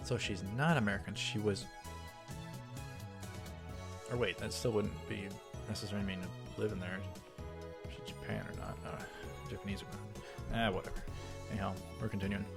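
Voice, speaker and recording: A man's voice: fairly low pitch, steady tone, moderate pace, creaky, over loud music. A man reading a visual novel aloud and adding his own casual commentary.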